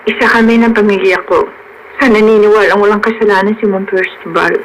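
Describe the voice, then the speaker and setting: A woman's voice: mid-range voice, steady tone, brisk, a little hiss or hum, natural voice, poor audio. A woman playing a loyal, sympathetic young friend in a radio drama, speaking in an encouraging way. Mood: reassuring. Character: loyal, reassuring young friend of a troubled girl.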